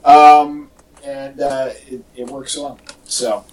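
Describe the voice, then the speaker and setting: A man's voice: medium pitch, little pitch movement, slow, darkish tone, slight background noise. Jokey man podcasting from off the mic. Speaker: podcast host. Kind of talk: casual rambling chat. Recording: distant mic, echoey in parts.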